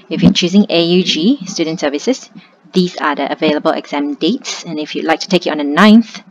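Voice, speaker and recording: Young woman talking you thru a screen tutorial, chill and chatty, bit muffled w faint hiss. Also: deep voice. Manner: relaxed, conversational step-by-step instructing. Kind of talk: screen-recorded tutorial walkthrough.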